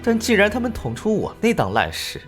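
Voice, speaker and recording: An actress playing a brazen, flirtatious, sharp-tongued female assistant in an animated crime drama, with a sassy, gossipy drawl. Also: mid-range voice, brisk, faint music behind. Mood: gossipy, catty.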